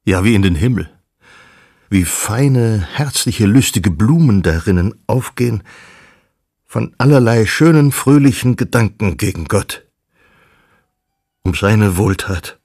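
An actor playing a grave, contemplative man who recites psalms and old texts in a measured, solemn manner for a radio play. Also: fairly low pitch, lively intonation, darkish tone, no room sound, studio quality. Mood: reverent.

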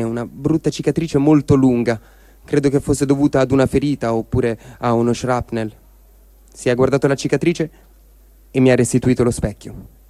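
A man giving a calm, measured dramatic reading of diary texts for a radio program. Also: mid-range voice, dry recording. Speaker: reader. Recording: dry close studio sound.

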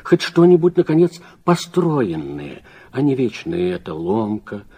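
A man acting in a radio drama as a troubled, reflective man of letters, husky and rhetorical, with deliberate phrasing that swells and drops. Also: mid-range voice, wildly swooping pitch, dark tone, heavily acted. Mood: impassioned. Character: reflective, troubled man of letters reading his critical reply. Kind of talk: literary radio drama monologue.

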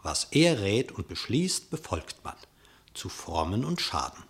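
A man reads a verse fable with measured, expressive storytelling. His voice sits somewhat low. His scripted narration carries the telling of a classic radio play.

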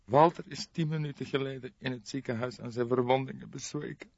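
A man voicing a distraught, helpless young woman in a tearful, halting way for an audiobook. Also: mid-range voice, steady tone, creaky, dry recording, highs cut off, voice pushed up. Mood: grief-stricken. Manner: wailing, halting, tearful delivery. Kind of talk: audiobook character voice.

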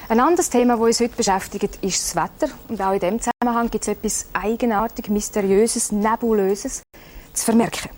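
A woman's voice: on the high side, steady tone, darkish tone. Woman hosting a talk show. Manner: chatty, slightly halting presenter with fillers.

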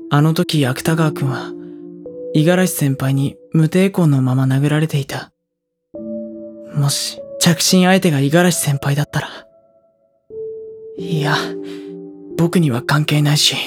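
A man playing a gentle, self-doubting high school boy in dialogue and first-person narration for an audio drama. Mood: troubled.

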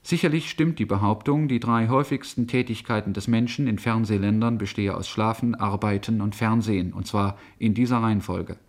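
Lowish male voice, old 70s educational radio lecture, very teachery and a bit superior, reading from script. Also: fairly even intonation, fairly quick, few pauses, a little mellow. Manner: didactic, schoolmasterly, condescending. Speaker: lecture presenter.

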